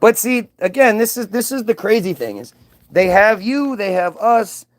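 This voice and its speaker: Combative high-voiced man, dry sound. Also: lively intonation, normal speed, no echo. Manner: combative, mocking, swears, stumbles and restarts. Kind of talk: heated argument with viewers.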